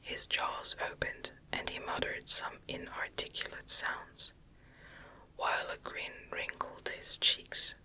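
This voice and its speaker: An ASMR narrator reading gothic fiction aloud in a soft, breathy, unvoiced whisper, deliberate and close to the microphone. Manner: slow, deliberate, soft delivery.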